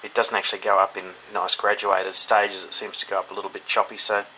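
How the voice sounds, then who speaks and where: A man's voice: fairly low pitch, level tone, fast, pushed and bright, low-quality recording. An Australian man giving a casual, unscripted product review indoors, thinking aloud in an informal, hesitant way. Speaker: hobbyist radio reviewer. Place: indoors, in a room. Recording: clear background noise, indoor home recording.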